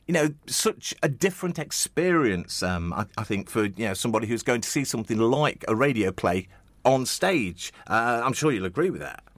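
A man's voice: medium pitch, very animated, moderate pace, no echo. A man hosting a casual radio interview, chatting in a rambling, conversational way and often stumbling over his words. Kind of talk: casual chat.

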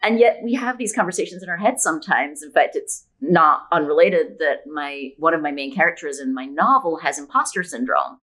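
A middle-aged woman from Scotland speaks as an interview guest. Her voice sits a little low. She talks in a reflective, conversational way, explaining herself through examples. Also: animated, brisk, few pauses, a bit bright, low-quality recording. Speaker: guest, novelist.

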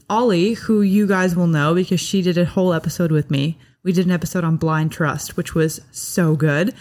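Young woman podcast host, chatty and bubbly, kinda low voice, lots of likes and ums. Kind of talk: casual family chat.

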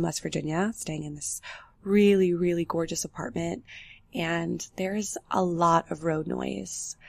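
A woman's voice: lowish voice, vocal fry, no room sound, clean studio sound. A young woman hosting a solo podcast, talking candidly and unhurriedly about her life, a bit nasal from the flu. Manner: candid, unhurried, personal storytelling. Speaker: podcast host. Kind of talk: solo reflective podcast talk. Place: apartment with road noise outside.

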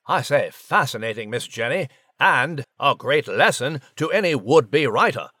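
A man voicing a genteel, learned and pompous gentleman animal in a children's audio drama. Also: mid-range voice, expressive, measured pace, no room sound, studio quality. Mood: measured, scholarly. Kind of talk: children's history podcast character acting.

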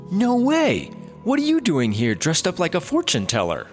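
A man's voice: sits high, wildly swooping pitch, normal speed, faint music behind. A man playing a clueless, cheeky fellow in a comedy audio drama, with a glib, oblivious delivery. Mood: flippant. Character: clueless, cheeky man, flippant lovelorn rehab patient.

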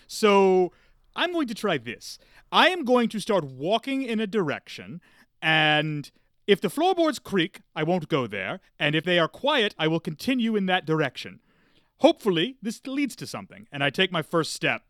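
High-voiced, wordy, earnest gentleman sleuth, acted by a man. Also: wildly swooping pitch, no echo, studio quality, exaggerated voice. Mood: careful, earnest. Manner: wordy, formal, deliberate. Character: earnest, polite amateur supernatural investigator, encyclopedia salesman.

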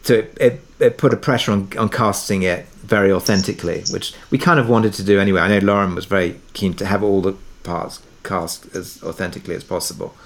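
Lowish-voiced man, hesitant interview guest. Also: fairly even intonation, a little fast, few pauses. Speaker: interview guest, audio drama producer. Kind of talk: conversational interview discussion.

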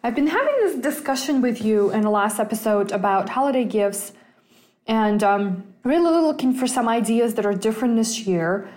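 Woman hosting a podcast, higher voice. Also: lively intonation, moderate pace, a little mellow. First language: Russian. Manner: easygoing, talks as ideas come to her. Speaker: podcast host. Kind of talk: solo finance podcast, thinking aloud.

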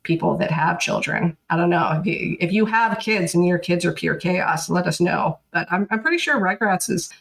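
A woman in her thirties co-hosting a podcast, talking in a flat, monotone, dryly sarcastic way. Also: lowish voice, normal speed, vocal fry, few pauses. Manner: dry, sarcastic. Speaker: podcast co-host. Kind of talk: casual chat.

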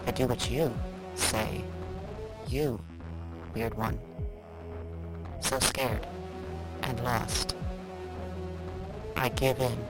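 A woman voicing a solemn inner voice that urges defiance, speaking in slow, measured phrases. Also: heavily acted. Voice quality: low and distant, spoken slowly. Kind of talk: audio drama acting. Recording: heavy music bed, distant voice.

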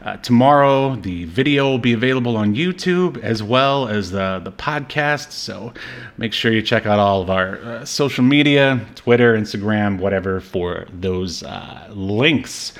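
A man's voice: on the low side, unhurried. A man hosting a podcast in casual chat, rambling and joking with frequent hesitations. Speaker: podcast host. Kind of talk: casual chat about an old superhero movie.

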